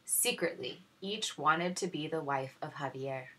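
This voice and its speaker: A woman reads a children's story aloud like a teacher to young pupils. Her voice is low and a little raspy, with a forceful bright edge. The narration is expressive. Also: measured pace, low-quality recording.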